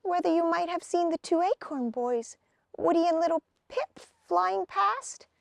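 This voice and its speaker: Little storybook hazelnut girl performed by a woman: high, gentle-mannered, nervous and courteous, dry sound. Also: expressive, measured pace, creaky, no room sound, exaggerated voice. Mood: nervous, polite. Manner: nervous, polite.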